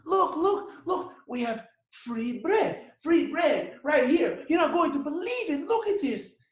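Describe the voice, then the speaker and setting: A man voicing an excitable husband who talks in a high, eager rush and repeats himself. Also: measured pace, reverberant. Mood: excited. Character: excitable man, breathless with good news.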